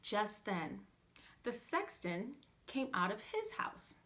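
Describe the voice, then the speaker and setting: Slow, expressive woman storyteller. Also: mid-range voice. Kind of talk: scripted fairytale narration for children.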